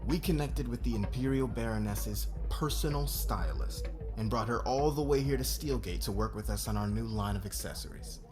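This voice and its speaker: A man acts out a demanding male boss in an audio roleplay. His voice sits low and stays measured and commanding, with a formal polish. Music plays clearly underneath him. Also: close to an everyday voice. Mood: polished, confident. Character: demanding, controlling fashion company boss, a man. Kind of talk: ASMR audio roleplay acting.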